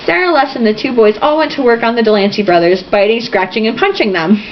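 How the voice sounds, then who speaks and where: A woman's voice: a little high, fast talker. A woman reading a novel aloud informally, theatrical and irreverent, with asides. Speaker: reader. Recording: dull lo-fi home recording, faint noise.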